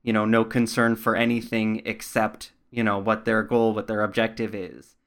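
A man's voice: fairly low pitch, little pitch movement, normal speed, a bit bright. A man hosting a podcast interview, conversational and thoughtful in how he comments.

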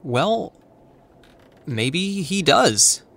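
A man's voice: a little high, big pitch swings, extremely slow, vocal fry, a bit bright, exaggerated voice. An actor playing a wry, protective young man in an audio drama, speaking in a sardonic, natural way. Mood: wry, cautious.

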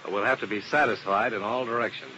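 A man's voice: on the low side, fast talker, bright, forceful tone. An actor playing a hard-boiled male insurance investigator who narrates the case in a clipped, matter-of-fact way, in a 1950s radio drama. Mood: terse. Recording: muffled old radio recording with hiss.

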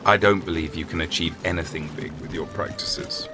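A man's voice: sits low, steady tone, moderate pace, over music, natural voice. A man doing a haughty old swordsman. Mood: grumpy. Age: older. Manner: haughty, drawling, dismissive.

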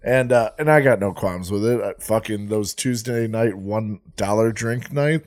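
A man's voice: fairly low pitch, normal speed, dry recording, clean studio sound. A man co-hosting a casual comedy podcast, laid-back and crude, swearing as he tells stories. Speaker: podcast co-host.

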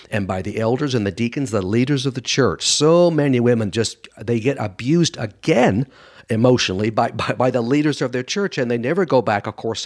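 Man, mid-range voice, guest expert, conversational interview, blunt, emphatic, dramatizes quotes. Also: medium pitch. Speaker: guest expert doctor and author on a radio show.

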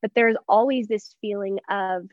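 A young adult American woman talks in an earnest, reflective way, as a guest sharing personal experience. Her voice sits at a middle pitch. The sound is dry, with a slightly lo-fi dullness. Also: steady tone, moderate pace, no room sound. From Texas, United States. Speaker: interview guest, church member.

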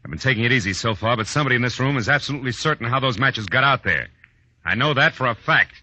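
An actor playing a tough, wisecracking American private detective in a 1940s radio drama, with a wry, clipped, hard-boiled delivery. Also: male voice, mid-range voice, lively intonation, quick pace, bright, forceful tone, a little hiss or hum. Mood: hard, insistent. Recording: dull 1940s radio recording, faint music.

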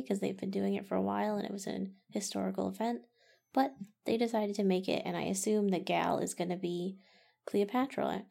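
Gravelly, mellow-voiced podcast co-host woman. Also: medium pitch. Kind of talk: casual podcast banter.

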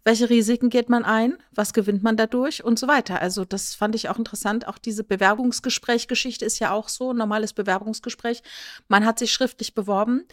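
A woman's voice: a little high, fairly even intonation, brisk, dry recording. Female podcast co-host in a dry room. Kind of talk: casual opinionated discussion.

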